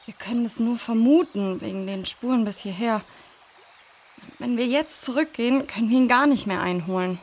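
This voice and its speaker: A woman's voice: fairly high pitch, normal speed. A woman playing a practical, level-headed leader of young adventurers in a children's audio drama. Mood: focused.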